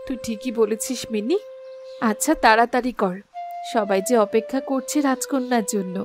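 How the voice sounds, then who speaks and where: A woman's voice: on the high side, moderate pace, over music, lightly acted. A woman voicing a loving cartoon queen who is bossy yet tender. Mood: urging. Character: fussing, loving queen, mother of a bride.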